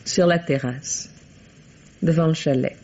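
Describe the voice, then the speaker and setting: A woman's voice: low voice, a little slow, mellow, a little hiss or hum. An actress playing an evasive, coquettish woman suspect in a 1950s-style French radio drama, speaking hesitantly and coyly. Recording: dull, lo-fi vintage radio recording.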